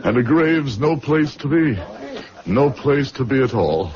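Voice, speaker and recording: An actor playing a bitter, guilt-ridden middle-aged drunkard in a radio drama, brooding and theatrical. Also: male voice, medium pitch, expressive, a little slow, lightly acted. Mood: agitated. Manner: brooding, theatrical, self-loathing. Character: bitter, guilt-ridden, aging English drunkard haunted by fear. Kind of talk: radio drama acting, confessional narration. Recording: dull radio recording with music behind.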